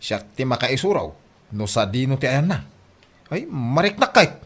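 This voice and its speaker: A man's voice: mid-range voice, very animated, normal speed, a bit bright, breathy edge, full character voice. A man with an Ilocano accent acting as a lazy young dreamer in a radio comedy drama, evasive, whiny and boastful. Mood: sheepish, excited.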